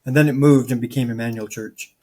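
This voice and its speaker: A man's voice: mid-range voice, steady tone, fast, mellow. Chatty older man telling stories. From Michigan, raised partly in Florida. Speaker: guest, band guitarist. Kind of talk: casual storytelling.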